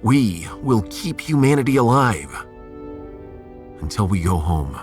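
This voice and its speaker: A man playing a resolute starship captain in a sci-fi audio drama, commanding, earnest and measured. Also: lowish voice, very animated, soft background music. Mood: solemn, rallying.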